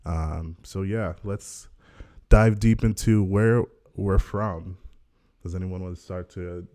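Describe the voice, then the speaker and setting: A young man in his twenties hosts a podcast with his family in a low voice. He sounds upbeat and teasing and leans on "um" between thoughts. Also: steady tone, slow pace, mellow. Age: 20s. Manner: upbeat, teasing, many "um" fillers. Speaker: podcast host. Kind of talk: casual family podcast chat.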